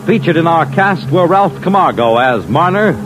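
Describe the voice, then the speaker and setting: A man's voice: a little high, animated, normal speed, background noise. A man announcing in scripted 1950s network radio style. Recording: vintage radio broadcast.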